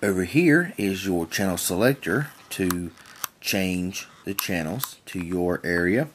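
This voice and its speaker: A man's voice: deep voice, expressive, slow, slight background noise. A man giving a casual product demonstration in a plain-spoken, folksy, rambling and repetitive way. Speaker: reviewer demonstrating a small weather radio.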